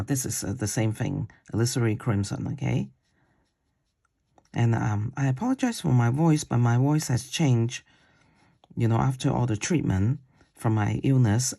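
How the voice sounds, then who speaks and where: A man with a deep voice teaching a watercolor paint-along. He talks slowly while he paints and often checks in with "okay?". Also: vocal fry, mellow. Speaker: watercolor instructor. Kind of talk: paint-along tutorial demo.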